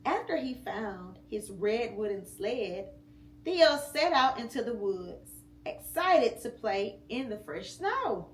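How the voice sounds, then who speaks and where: Slow, expressive mother reading aloud. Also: on the high side. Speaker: mother reading to her child. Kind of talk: storybook read-aloud. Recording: echoey room with faint hum.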